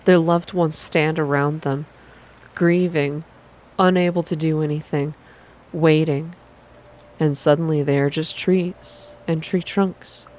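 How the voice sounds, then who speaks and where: A woman reading a story aloud in a calm, slow, hushed ASMR style. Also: deep voice. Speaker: reader of a visual novel for an online channel.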